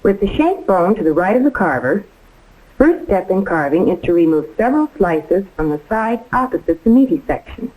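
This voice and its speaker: A woman's voice: fairly low pitch, very animated, moderate pace, dark tone, poor audio. A woman giving precise, step-by-step scripted instruction as a home economist in a 1950s training film. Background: music.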